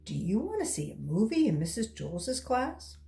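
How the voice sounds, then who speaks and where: A woman voicing an eager young schoolboy in a storybook, calling out excitedly. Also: mid-range voice, normal speed, gravelly.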